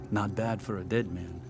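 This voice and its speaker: Give the game a proud exiled gambler, with a low voice and a measured delivery. He philosophizes and teases like someone who knows the rules better than you, with music under him. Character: proud cast-out man, sly dice player turned rebel informant. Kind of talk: video game cutscene acting.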